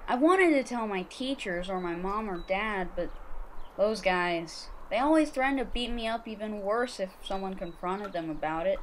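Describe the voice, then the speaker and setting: A woman's voice: medium pitch, lively intonation, normal speed, slight background noise, natural voice. A woman playing a young, bullied schoolboy, eager and naive, in an amateur audio drama. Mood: dejected, confiding.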